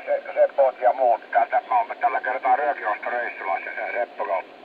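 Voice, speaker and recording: A man's voice: medium pitch, phone-quality sound. A man chatting casually as an amateur radio operator over a shortwave link. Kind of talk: casual ham radio contact. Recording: thin shortwave radio transmission with static. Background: radio static.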